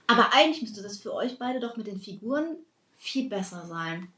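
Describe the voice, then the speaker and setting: A woman's voice: medium pitch, lively intonation, moderate pace, sharp tone. A woman joining a casual podcast conversation among friends. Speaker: podcast participant. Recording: echoey, distant, faint room noise.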